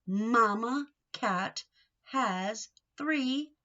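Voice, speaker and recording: A woman reading a picture book aloud to young children in an expressive, sing-song way, coaxing them with questions. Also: on the high side, drawn-out words, highs cut off.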